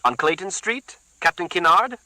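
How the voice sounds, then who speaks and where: A man's voice: high voice, animated, moderate pace, sharp tone, dry recording, voice pushed up, full character voice. A man doing a menacing Puerto Rican street fighter. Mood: suspicious. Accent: Puerto Rican Spanish. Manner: clipped, broken English, interrogating. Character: small wiry Puerto Rican man in his thirties, menacing and suspicious.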